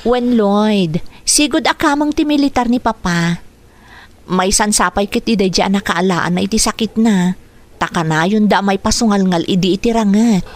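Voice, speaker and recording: A woman's voice: medium pitch, moderate pace, natural voice. A woman playing an earnest, protective young daughter in a radio drama, talking in a pleading manner. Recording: faintly noisy radio drama track.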